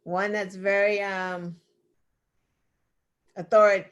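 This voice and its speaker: A woman's voice: medium pitch, flat delivery, extremely slow, a bit bright, smooth, dull audio. A woman co-hosting an online news show, running a trivia game in casual chat and laughing often. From United States. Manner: laughs often, playful quizmaster. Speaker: co-host.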